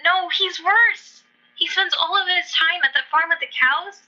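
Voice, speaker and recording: An anxious little girl, acted by a woman in a radio play, with a very high, piercingly bright voice. She is naive and chatty, and the recording is slightly dull. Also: measured pace, heavily acted. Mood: earnest, worried. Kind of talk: amateur radio play acting. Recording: dull lo-fi audio.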